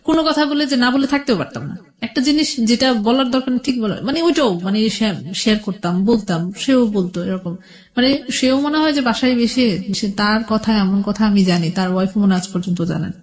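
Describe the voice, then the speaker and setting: A woman's voice: a little high, brisk. A middle-aged woman with a Bangladeshi accent recounts her own life as a radio interview guest, in a rambling, colloquial way. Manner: rambling, colloquial, quotes past conversations. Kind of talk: personal storytelling in a radio interview.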